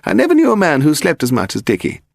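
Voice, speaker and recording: Bloke doing posh english old dowager in her eighties, grand and withering, high wildly swooping voice, creaky, audiobook. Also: huge pitch swings, fast, dry recording, clean studio sound, full character voice. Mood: indignant, rambling. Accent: upper-class English. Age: 80s. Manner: pedantic, grand, derisive, demanding. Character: imperious frail aristocratic old lady of eighty-five, malicious.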